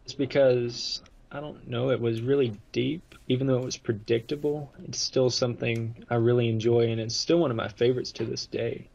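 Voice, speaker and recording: A man's voice: medium pitch, flat delivery, normal speed, vocal fry, darkish tone, breathy edge. A man hosting a podcast, chatting casually as a fan over a muffled lo-fi connection. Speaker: podcast host.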